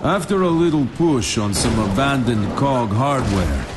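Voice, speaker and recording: An actor playing a cocky, wry soldier recounting a mission in a dry, wisecracking way for a video game cutscene, with music over him. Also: male voice, medium pitch, very animated, unhurried, heavy background noise. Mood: wry, matter-of-fact.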